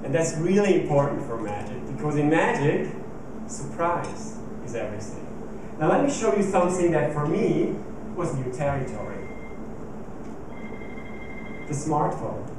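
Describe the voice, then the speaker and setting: A man's voice: fairly high pitch, sing-song. Swiss man presenting in an echoey hall. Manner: measured, clear storytelling delivery. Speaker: magician giving a stage presentation. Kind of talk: rehearsed stage talk. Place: large conference hall stage. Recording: echoey hall recorded from far off with noise.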